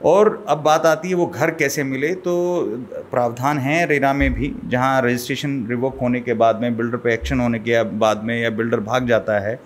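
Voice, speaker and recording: A man's voice: mid-range voice, steady tone, slight background noise. A middle-aged man as a guest expert, explaining step by step in a studio interview at a desk mic. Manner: measured, explaining, code-mixes English terms. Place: small studio set. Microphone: desk mic on boom arm.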